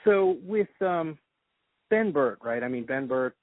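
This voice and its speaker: A man's voice: on the high side, lively intonation, unhurried, no room sound. A man conducting a conversational interview as an enthusiastic questioner. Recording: dry, narrow phone-like sound.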